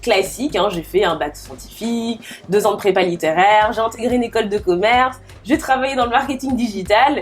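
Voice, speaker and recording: A woman's voice: medium pitch, a little fast, hardly any pauses, soft background music. Chatty French woman in her thirties. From Paris region, France. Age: 30s. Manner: chatty, with many fillers and restarts. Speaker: guest entrepreneur. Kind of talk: casual interview conversation.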